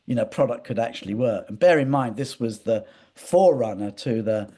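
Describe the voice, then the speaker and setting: An interview guest, a man with a mellow, relaxed voice at an average pitch. He talks conversationally in rambling anecdotes, often repeating words and doubling back as he goes. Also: a little fast. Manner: hesitant, repetitive, digressive.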